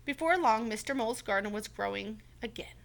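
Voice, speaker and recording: A woman's voice: on the high side, expressive, brisk, gravelly, background noise. A woman reading a children's story aloud as a teacher, expressively, with simple explanatory asides to young listeners.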